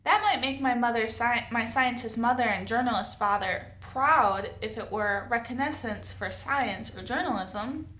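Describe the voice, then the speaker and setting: Female storyteller for children's fiction, slightly high voice, expressive reading, recorded in a reverberant room. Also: moderate pace, few pauses. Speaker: librarian reading a children's novel aloud. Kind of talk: expressive read-aloud storytelling.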